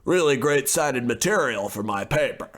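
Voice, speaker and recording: A man playing a priggish, educated, easily appalled gentleman researcher in an old-time radio horror comedy, haughty, fussy and hesitant. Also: mid-range voice, lively intonation, moderate pace. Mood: haughty, flustered.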